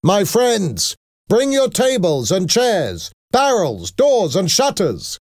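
A man's voice: high voice, wildly swooping pitch, slow pace, a little mellow, no echo, clean studio sound, pitched above the performer's own voice, exaggerated voice. Casting: male reader as young revolutionary leader for a story, defiant, rallying, raised and emphatic voice. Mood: defiant, rousing. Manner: rousing, defiant oratory.

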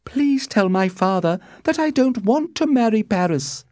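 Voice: medium pitch, lively intonation, measured pace, a little mellow, no echo, studio quality, voice pushed up, close to an everyday voice. A performer voicing a lovesick, distressed young noble daughter in a storybook reading, earnest and pleading. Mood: unhappy, defiant.

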